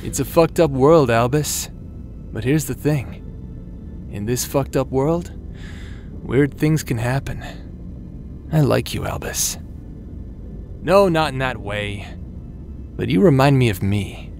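A man acting as a taunting, manipulative villain in a dramatic audio roleplay, with a drawn-out, mocking delivery. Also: mid-range voice, expressive, gravelly, a little mellow, faint music behind. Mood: coaxing. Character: taunting male villain, manipulative, mocking, coldly friendly tempter.

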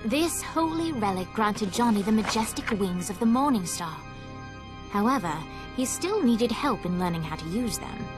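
A woman playing a storybook narrator in a video game, telling an infernal legend in measured, dramatic scripted narration. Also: medium pitch, expressive, close to an everyday voice. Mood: solemn storytelling. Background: music.

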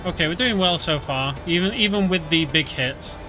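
A man's voice: a little high, normal speed. A man doing casual, informal live commentary as he plays a video game, explaining as he goes. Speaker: streamer, speedrunner. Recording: game music behind the voice. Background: video game music and vehicle sounds.

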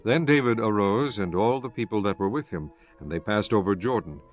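A man narrating scripture from a script in a measured, solemn storytelling manner. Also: lowish voice. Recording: faint music behind the voice.

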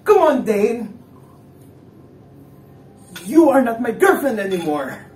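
A man's voice: very high-pitched, sing-song, takes it slowly, darkish tone, far from the mic, a little hiss or hum, full character voice. A young man playing a curt, guarded, reserved man in a stage play. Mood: cold, irritated. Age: young adult. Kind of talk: amateur stage play acting. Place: indoor living-room stage set. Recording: echoey stage from afar, music behind.

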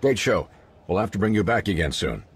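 An actor playing a polished broadcast interviewer in a sci-fi game, with a presenterly delivery. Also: male voice, deep voice, very animated, a little fast, background noise. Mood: polished, upbeat. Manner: smooth-talking, presenterly. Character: polished male holonews interviewer signing off a broadcast. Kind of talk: scripted game news broadcast.

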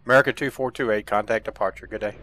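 A man's voice: on the low side, very fast. A man streaming a game, playing air traffic controller with procedural calls and offhand commentary. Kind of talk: gameplay commentary and radio-style controller calls. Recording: steady background noise from game ambience.

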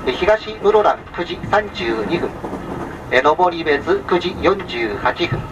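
A man's voice: fairly high pitch, big pitch swings, distant mic. Muffled Japanese train conductor on the PA, male. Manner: formal, polite, measured listing with small filler sounds. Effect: public address speaker. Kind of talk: onboard public address announcement. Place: inside a moving sleeper train, over its speakers. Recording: muffled train PA from afar, vehicle noise.

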